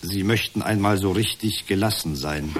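An actor playing an unflappable counsellor with a husky voice and a measured, detached, formulaic delivery in a radio drama. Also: male voice, deep voice. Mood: detached, soothing. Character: unflappable therapy counsellor machine parroting stock empathy phrases. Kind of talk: satirical radio drama acting.

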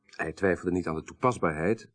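An actor playing a grave, troubled son in a radio drama, speaking in a formal, measured, articulate way. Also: male voice, low voice, close to an everyday voice. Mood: measured, serious. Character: grave, troubled son recounting his dead father's story.

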